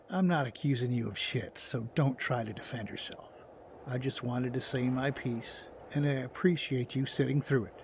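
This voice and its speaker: A man's voice: mid-range voice, darkish tone, slight background noise, lightly acted. An actor playing an earnest, plain-spoken old man in a motion comic drama, insistent and folksy. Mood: pleading. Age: older. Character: earnest, blunt old man in a survivor group.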